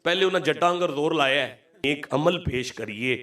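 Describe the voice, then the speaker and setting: A man's voice: a little high, brisk, sharp tone, recorded from a distance. A middle-aged man with a Punjabi accent preaching an Islamic sermon, telling stories, repeating phrases for emphasis and putting questions straight to his listeners. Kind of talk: religious sermon to a congregation, storytelling with calls for audience response.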